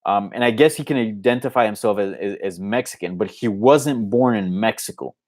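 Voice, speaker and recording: Male host, from Colombia, Spanish first language, lower voice, casual conversational live Q&A delivery. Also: a little fast, a little mellow. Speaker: host of an MMA live Q&A show. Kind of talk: casual unscripted live Q&A commentary.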